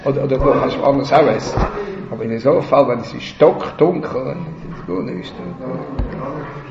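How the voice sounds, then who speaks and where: A man leading a religious text study discussion, repeating words in stammering bursts and reciting passages rapidly. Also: mid-range voice, gravelly, noisy background. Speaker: study session leader. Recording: roomy, dull recording with people around.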